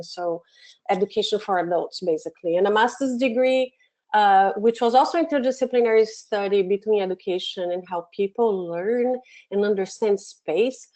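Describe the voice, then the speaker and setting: A woman from Brazil with a Brazilian Portuguese accent, hosting a live software webinar from her home office and talking in a friendly way with many fillers and restarts. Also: mid-range voice. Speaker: webinar host, education program manager. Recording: dull lo-fi webinar audio.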